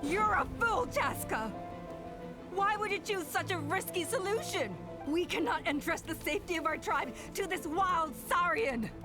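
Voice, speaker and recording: A proud young member of a fantasy game tribe. The voice is very high and swings expressively, dutiful and dramatic. Loud music runs underneath. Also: female voice, animated, moderate pace. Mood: stern, self-sacrificing. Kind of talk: dramatic video game voice acting.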